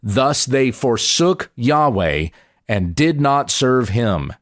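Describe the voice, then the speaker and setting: A man, a pastor, reading scripture aloud in a measured, deliberate and expressive way. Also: medium pitch.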